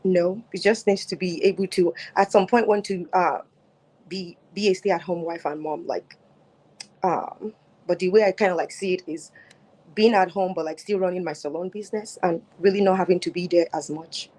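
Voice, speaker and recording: A woman's voice: lowish voice, fairly even intonation, moderate pace, poor audio. A woman in her thirties with a Nigerian accent, answering dating questions casually on a phone at home, with lots of fillers and restarts. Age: 30s. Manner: soft-spoken, many fillers and restarts. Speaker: guest on a dating show. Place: home, mid-move.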